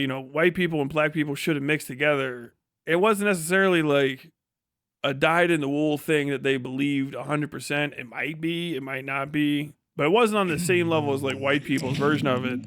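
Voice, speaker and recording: A man's voice: on the high side, fairly bright tone, no room sound, studio quality. A man live streaming a casual, opinionated monologue in a profane, slangy, rambling and emphatic way.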